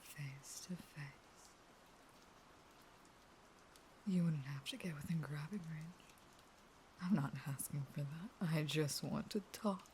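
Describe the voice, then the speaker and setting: A woman acts out a starving female vampire in an intimate audio roleplay, low and breathy, mostly in whispers. She draws her words out slowly, an unstable caged lover who both pleads and threatens. Faint noise sits behind the voice. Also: a little mellow. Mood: coaxing, sweet.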